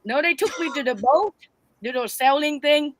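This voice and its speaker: Vietnamese-accented woman, lively guest storyteller. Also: high-pitched, moderate pace, crowd noise. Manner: animated, broken English, frequent laughter. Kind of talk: casual storytelling chat.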